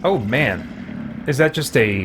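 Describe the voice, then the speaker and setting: A man's voice: mid-range voice, expressive, slow. Middle-aged man rambling over background noise. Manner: rambling, thinking aloud. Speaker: livestream host playing a video game. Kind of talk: casual live game commentary and chat.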